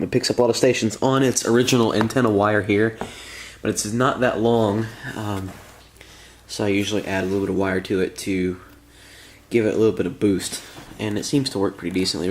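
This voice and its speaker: Low-voiced man casually demonstrating. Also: steady tone, fairly quick, darkish tone, slight background noise. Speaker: vintage radio hobbyist demonstrating a restored set. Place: room in a house.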